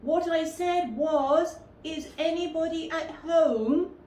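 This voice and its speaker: A woman's voice: very high-pitched, slow pace, warm tone, pitched above the performer's own voice. A woman voicing a friendly, naive storybook bear who loves food and humming, with an earnest, sing-song manner. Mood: hopeful, calling out. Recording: roomy, distant mic with faint noise.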